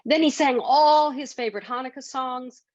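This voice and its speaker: A woman's voice: high-pitched, moderate pace, darkish tone, no room sound, muffled recording. A woman reading a children's bedtime story expressively, as a storyteller.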